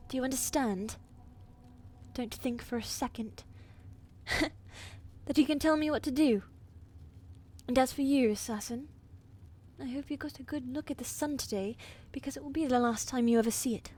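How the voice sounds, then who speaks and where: A woman playing a fierce, commanding queen in a medieval fantasy audio roleplay, imperious and threatening, with a softer side for her husband. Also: sits high, normal speed, pauses often. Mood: imperious anger.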